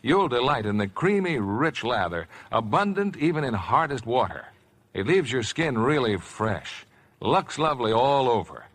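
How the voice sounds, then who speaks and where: Male host for an old-time radio theater: scripted, polished, lively swooping delivery, medium pitch. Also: normal speed. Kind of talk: 1950s radio announcing, scripted. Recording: vintage 1950s radio broadcast.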